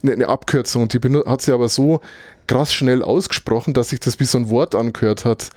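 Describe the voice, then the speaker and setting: A man's voice: medium pitch, fairly even intonation, a little fast, a little mellow, no room sound, studio quality. A man from Germany chatting casually as a podcast co-host, thinking aloud and often searching for words as he goes. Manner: thoughtful, rambling, searches for words mid-sentence. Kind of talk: casual conversational recap.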